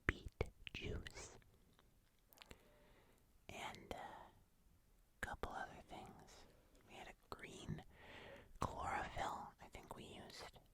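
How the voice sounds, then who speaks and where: An ASMR creator chatting casually in a soft, breathy whisper, gently rambling while working on a craft. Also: takes it slowly, pauses often.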